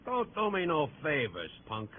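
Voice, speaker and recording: A wry, weary old safecracker. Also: male voice, fairly high pitch, wildly swooping pitch, moderate pace, a little hiss or hum. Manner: gruff, wisecracking. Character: wry, weary middle-aged ex-con playing Santa. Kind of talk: 1940s radio acting. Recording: tinny old radio broadcast.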